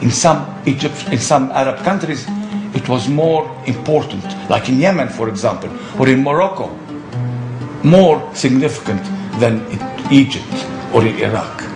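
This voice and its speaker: Man, medium pitch, documentary interview, echoey recording, plucked guitar music behind. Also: expressive, moderate pace. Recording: echoey room, prominent music.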